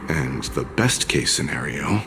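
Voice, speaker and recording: An actor playing a grim, pragmatic soldier in a video game, speaking in a measured, grave and blunt way. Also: male voice, a bass voice, animated, gravelly, background noise, full character voice. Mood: resolute. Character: grim, pragmatic soldier facing death, stoic man. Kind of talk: video game cutscene acting. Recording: loud music behind the voice.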